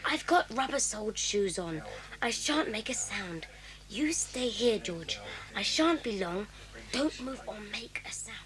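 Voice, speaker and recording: An actress voicing a bold young schoolboy who gives hushed, urgent orders. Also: female voice, medium pitch, expressive, a little slow, crowd noise, close to an everyday voice. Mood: whispering.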